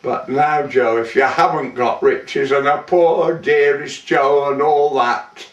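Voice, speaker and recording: Man reciting as a wistful young heiress, slow, echoey. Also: medium pitch, fairly even intonation, highs cut off, close to an everyday voice. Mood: fond, teasing. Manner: theatrical, measured, with lingering pauses. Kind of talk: dramatic poetry recitation. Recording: roomy echo, distant microphone.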